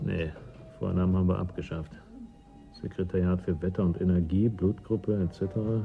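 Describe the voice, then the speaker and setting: A low, creaky-voiced man, dry and suspicious. His brusque radio-drama delivery comes through a dull recording. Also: level tone, moderate pace. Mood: dryly sardonic. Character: sardonic, suspicious man inspecting a stranger's papers. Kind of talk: German radio play acting. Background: faint music.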